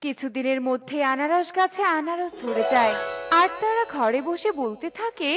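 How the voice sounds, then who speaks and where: A woman's voice: sits high, expressive, normal speed, loud music behind the voice. A woman narrator reading a scripted children's story.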